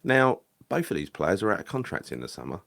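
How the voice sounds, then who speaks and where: A man's voice: low voice, very animated, fairly quick, gravelly, a bit bright. A man talking casually about football, rambling and correcting himself with lots of fillers. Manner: rambling, hesitant, frequent fillers and self-corrections. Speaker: football podcast host. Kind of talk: casual solo football chat.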